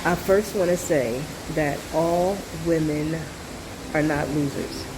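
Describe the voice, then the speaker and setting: A woman's voice: deep voice, slow pace, noisy background. A woman talking casually in a reaction video, nasal from a cold, rambling with many fillers and side notes. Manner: rambling, full of fillers and asides. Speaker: video commentator.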